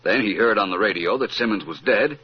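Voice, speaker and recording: A suave, witty gentleman detective from a vintage radio mystery. His low voice swings freely and expressively, urbane and teasing. The old recording is muffled. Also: lively intonation, brisk, breathy edge. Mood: assured, explanatory. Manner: urbane, teasing, explaining with assurance. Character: suave, witty, self-assured male amateur detective.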